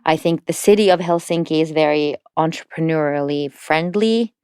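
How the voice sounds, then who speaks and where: Low, smooth-voiced woman explaining. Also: moderate pace, darkish tone, no room sound. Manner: fluent and explanatory, light laughs between words. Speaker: podcast guest, entrepreneurship network representative. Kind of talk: casual podcast conversation.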